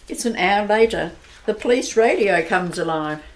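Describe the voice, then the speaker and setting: A woman narrating an amateur radio play in a plain, even storytelling manner. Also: medium pitch, normal speed, poor audio.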